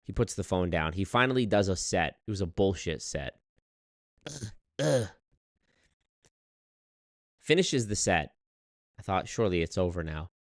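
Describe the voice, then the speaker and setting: A man's voice: deep voice, measured pace, pauses often, dry recording. Swearing, exasperated male streamer, dry sound. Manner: animated storytelling, swears a lot, exasperated. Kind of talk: casual livestream rant.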